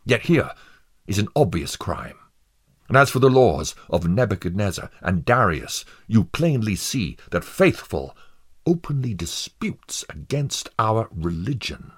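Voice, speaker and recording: A man's voice: lowish voice, sing-song, measured pace, husky, pitched above the performer's own voice, heavily acted. A man playing a stern judge in a story, pompously declaiming a condemnation with great emphasis. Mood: stern, indignant. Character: stern male judge condemning a rebel prisoner.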